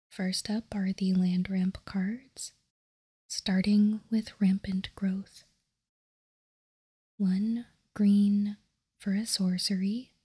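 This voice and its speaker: A woman whispering softly in an unhurried, measured ASMR style as she reads out and comments. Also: medium pitch. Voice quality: breathy, unvoiced whisper. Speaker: host of a card-game ASMR show.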